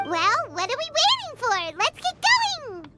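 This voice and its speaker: Tiny squeaky game fairy sidekick, super high n bouncy, bubbly, curious, loud music behind. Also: female voice, very animated, measured pace, full character voice. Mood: eager. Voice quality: squeaky, childlike. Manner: chirpy, refers to self by name. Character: small floating childlike fairy companion, bubbly, curious, pleading. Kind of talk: video game character acting.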